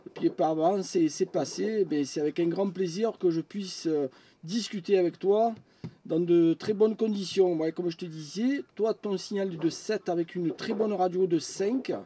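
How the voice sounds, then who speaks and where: A man talks casually over amateur radio in a high-pitched voice. His tone is soft and mellow, his manner friendly and chatty. A little noise sits behind him. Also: moderate pace. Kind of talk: casual radio contact chat. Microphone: studio microphone at the radio. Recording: clear steady background noise.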